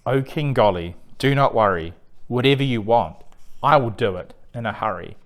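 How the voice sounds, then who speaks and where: A man's voice: mid-range voice, expressive, moderate pace, natural voice. A man voicing a humble, loyal royal servant in a rhyming storybook, deferential and eager to please. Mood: eager, reassuring.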